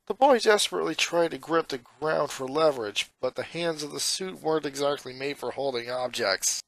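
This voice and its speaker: A man's voice: fairly high pitch, moderate pace, vocal fry. A man reading a story aloud as a scripted narrator. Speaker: fan fiction reader, narrator.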